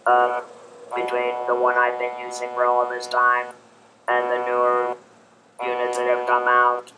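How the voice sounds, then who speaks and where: A man talks with an electrolarynx, producing a mechanical buzz in place of a natural voice. The pitch stays completely monotone while he gives informal advice in halting, careful phrases. Loud noise runs behind him. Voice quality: buzzing robotic electrolarynx voice, monotone. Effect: electrolarynx vibration in place of natural voice. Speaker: electrolarynx user advising new users. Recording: loud steady background noise.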